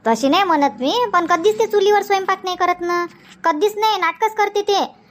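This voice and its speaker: High-pitched nagging Marathi village housewife, as an actor plays her. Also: normal speed, a bit bright. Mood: gossipy. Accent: rural Vidarbha Marathi. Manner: nagging, chattering, insistent. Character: nagging, chatty, stubborn village housewife in a comedy cartoon. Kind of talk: cartoon comedy dialogue.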